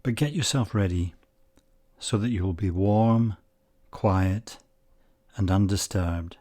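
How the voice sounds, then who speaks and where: Male meditation guide, low-pitched and very unhurried, calm, spacious phrasing. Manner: calm, measured, short phrases with long pauses.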